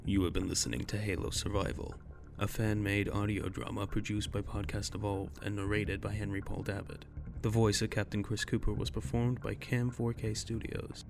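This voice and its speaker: A male announcer reads scripted credits in a lowish voice. His delivery is matter-of-fact, with very flat intonation from phrase to phrase. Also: normal speed, vocal fry, background noise.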